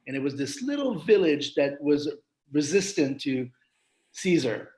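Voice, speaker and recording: A man from Quebec with a slight Quebec French accent, telling stories as a guest in a casual interview, lively and full of restarts. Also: on the high side, a little slow, rough recording. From Quebec, Canada. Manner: animated storytelling, frequent restarts.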